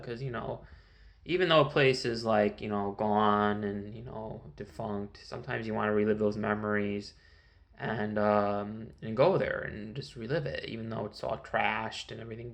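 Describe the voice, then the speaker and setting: A man's voice: medium pitch, creaky, a bit bright. A man from Connecticut in his thirties, rambling through a casual solo monologue with many fillers and restarts. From Connecticut, USA. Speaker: vlogger.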